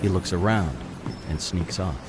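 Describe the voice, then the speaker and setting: Male narrator, fairly low voice, scripted audio drama narration. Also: normal speed, heavy background noise.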